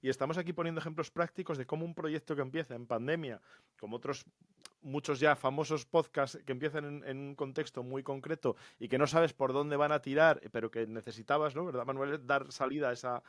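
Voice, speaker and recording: A man's voice: mid-range voice, steady tone, brisk, no room sound, studio quality. A man with an accent from Spain hosting a podcast in a casual, chatty way, often tagging on little questions. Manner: informal, often checks in with tag questions. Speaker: podcast host.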